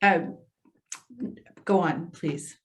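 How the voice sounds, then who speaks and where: A woman playing a patient, gently skeptical social worker in readers theater, measured, encouraging and tentative. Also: on the low side, a little hiss or hum. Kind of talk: readers theater stage acting.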